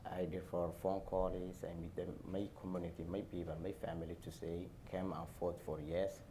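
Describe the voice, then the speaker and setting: A man from Somalia with a Somali accent, speaking haltingly on a panel as an airport worker. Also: deep voice, little pitch movement, mellow, a little hiss or hum. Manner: halting, non-native phrasing. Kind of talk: panel discussion answers.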